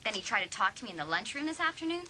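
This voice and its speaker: A woman's voice: fairly high pitch, sing-song, racing, sharp tone, slight background noise, exaggerated voice. A woman playing a resentful, indignant teenage girl in a dramatised audiobook. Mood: scornful.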